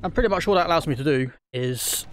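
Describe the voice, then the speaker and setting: A man's voice: fairly high pitch, expressive, quick pace, fairly bright tone, slight background noise. A man giving casual let's-play commentary, rambling with lots of ums and restarts, recovering from a throat infection. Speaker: gaming YouTuber.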